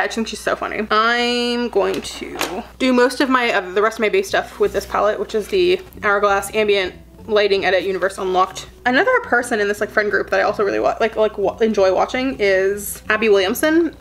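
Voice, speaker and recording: Fast-talking young woman, rambling. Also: mid-range voice, fairly bright tone. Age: 20s. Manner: rambling, full of "like" fillers. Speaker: beauty vlogger. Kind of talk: chatty get-ready-with-me talk while doing makeup.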